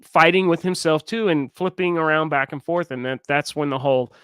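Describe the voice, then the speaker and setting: A man co-hosting a casual livestream, telling stories with animated energy and teasing his partner. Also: on the high side, a little fast, clean tone, dry recording. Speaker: livestream co-host.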